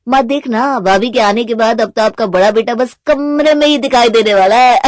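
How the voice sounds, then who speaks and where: Woman as young brother-in-law, boisterous joker, mischievous, fairly high voice, dry audio-drama sound. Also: moderate pace, no room sound, lightly acted. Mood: playful. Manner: jokey, boisterous.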